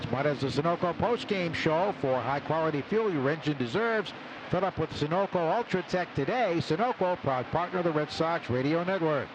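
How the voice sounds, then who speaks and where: A man calling baseball play-by-play live on the radio from a stadium booth. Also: fairly high pitch. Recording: radio broadcast over loud ballpark background noise.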